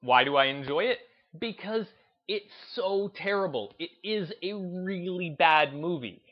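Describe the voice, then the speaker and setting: A man hosting a gaming video essay, speaking in an emphatic, deliberate, unscripted way and repeating phrases to drive his points home. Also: high voice, expressive, highs cut off. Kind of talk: opinionated commentary, unscripted talk to listeners.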